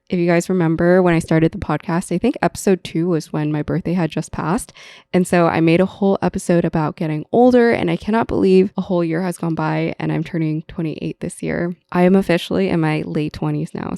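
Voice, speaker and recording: A woman's voice: fairly low pitch, gravelly, mellow, clean tone, no echo, clean studio sound. A woman in her twenties hosting a casual solo podcast, chatty and rambling, laughing often. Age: 20s. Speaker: podcast host.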